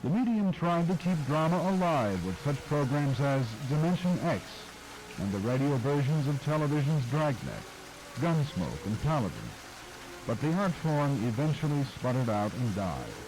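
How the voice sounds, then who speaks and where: A man's voice: mid-range voice, sing-song, normal speed, background noise. A man reading a scripted narration for a documentary-style program. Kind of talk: scripted narration about radio history.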